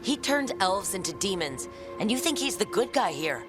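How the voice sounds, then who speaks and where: An actress playing a steady, frank adventurer and leader in a game cutscene, direct and matter-of-fact. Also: female voice, medium pitch, normal speed, natural voice. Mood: firm, challenging. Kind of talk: dramatic video game cutscene acting. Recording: loud background music.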